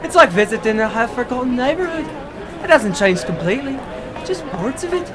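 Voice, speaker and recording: An actor playing a boozy old ex-doctor in a 1940s radio drama, rambling philosophically in florid, lecturing speeches. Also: male voice, very high-pitched, lively intonation, fairly quick, fairly bright tone, soft background music, some chatter behind. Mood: tipsy, wistful.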